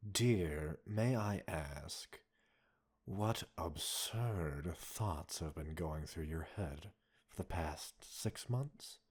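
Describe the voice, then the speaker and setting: A man playing a cold, condescending villain scientist in an audio roleplay, a possessive captor speaking in a measured, mocking, formal way. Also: low-pitched, slightly rough, exaggerated voice. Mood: condescending, probing. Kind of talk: dramatic audio roleplay acting addressed to listener.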